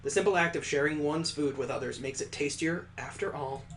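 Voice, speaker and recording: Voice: medium pitch, fairly quick, a bit bright, a little hiss or hum, lightly acted. A performer voicing a refined, formal young lady in a visual novel, polite and proper, with ornate wording. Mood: genteel.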